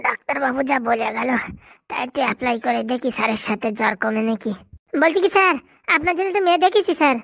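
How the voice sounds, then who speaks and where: Woman acting as male cartoon sidekick, high voice, rapid-fire chatter, scheming, fretful, deferential. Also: gravelly, fairly bright tone, no room sound, clean studio sound, exaggerated voice. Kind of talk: comic Bengali cartoon dialogue.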